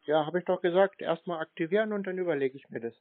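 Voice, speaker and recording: A man's voice: fairly high pitch, fast, no echo. A man bantering casually on a call, nonchalant, stubborn and teasing, as he strings a caller along. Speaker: prankster taking scam calls. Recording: narrow phone-like sound.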